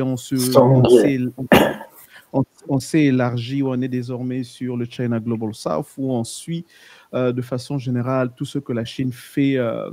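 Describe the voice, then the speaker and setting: Mellow-voiced man explaining carefully. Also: mid-range voice, no echo. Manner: measured, often restarts and corrects himself. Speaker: guest, editor of a research outlet. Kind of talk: explaining in a panel talk.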